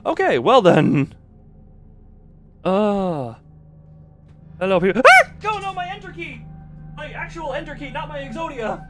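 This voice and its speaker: A high-voiced man livestreams in a goofy, rambling way, his pitch jumping around as he breaks off his own sentences. He chats casually while setting up a game, with music playing behind him. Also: sing-song, unhurried, long pauses. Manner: goofy, rambling, self-interrupting. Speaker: game streamer.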